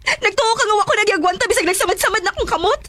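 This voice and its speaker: A woman's voice: very high voice, animated, rapid-fire, background noise. A woman playing a plaintive, hurt young wife in a radio drama, with a Filipino Bisaya accent. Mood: hurt, angry. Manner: plaintive, pleading.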